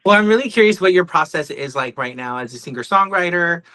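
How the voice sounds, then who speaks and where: A male podcast host with a fairly high voice and a bright, crisp edge. He asks his questions in a casual chatty style, and the sound is close and dry. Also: animated, fast talker, no room sound. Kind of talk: casual interview chat.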